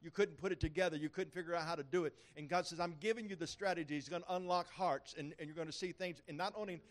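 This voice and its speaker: A man preaching a prophetic word at a church meeting, fervent and building in intensity, with halting restarts. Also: a little high.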